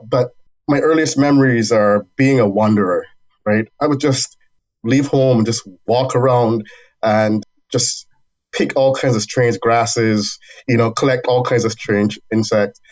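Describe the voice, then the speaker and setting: A man from Barbados, a university dean being interviewed, talking in a thoughtful, measured way with small restarts. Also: medium pitch, steady tone, darkish tone, low-quality recording. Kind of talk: reflective interview storytelling.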